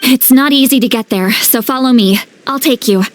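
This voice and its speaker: A woman's voice: high-pitched, moderate pace, slight background noise, close to an everyday voice. An actress playing an earnest young female guide in a video game. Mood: purposeful. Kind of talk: video game character acting.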